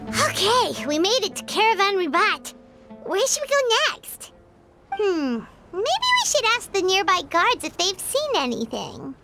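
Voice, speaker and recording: Super high squeaky little game sidekick girl, bubbly, bouncy delivery, music behind. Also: wildly swooping pitch, a little slow, exaggerated voice. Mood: curious. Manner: chirpy, exclamatory. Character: tiny childlike floating girl companion, eager and bubbly. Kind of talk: animated video game voice acting.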